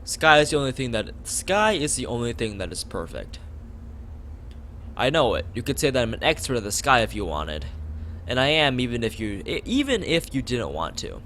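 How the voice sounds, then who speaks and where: A man voicing a dreamy, blunt, odd teenage schoolgirl from a visual novel in a halting, matter-of-fact way. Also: mid-range voice, big pitch swings, fairly bright tone, slight background noise.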